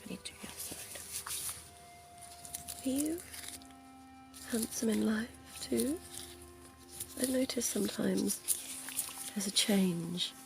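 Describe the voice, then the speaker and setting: Woman as a slow, slinky vampire dentist, flirty ASMR whisper, low and gravelly. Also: over music, rough recording. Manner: soft, teasing, intimate whispering. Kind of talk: ASMR roleplay.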